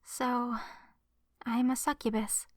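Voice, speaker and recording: A woman playing a gentle, shy young adult succubus in an ASMR roleplay, speaking softly and earnestly to the listener as a confiding best friend. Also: fairly high pitch, flat delivery, slow, no room sound, studio quality. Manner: soft, earnest, hesitant, confiding. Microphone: close microphone in a dry space.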